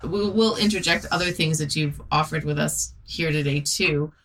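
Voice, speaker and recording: A woman's voice: sits low, moderate pace, a bit bright. A woman hosting an interview, explaining things conversationally and putting questions to her guest. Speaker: relationship podcast host. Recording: distant from the microphone.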